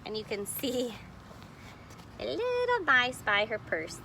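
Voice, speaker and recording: A woman's voice: fairly high pitch, very animated, slow pace, gravelly, background noise. A woman reading a picture book aloud expressively, explaining details to young listeners in casual asides. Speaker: storybook reader.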